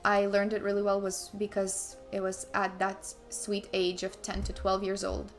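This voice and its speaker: A woman's voice: mid-range voice, completely flat delivery, normal speed. Chatty woman streamer, faint music. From Ukraine. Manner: chatty, restarts sentences, asides to herself mid-story. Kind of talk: casual chat while playing chess.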